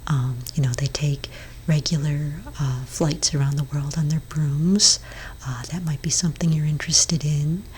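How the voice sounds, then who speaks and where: A woman speaking in a breathy whisper for a soft-spoken ASMR roleplay, playing a gentle, hesitant therapist for witches. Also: sits low, fairly even intonation, slight background noise. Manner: gentle, hesitant, halting counsel.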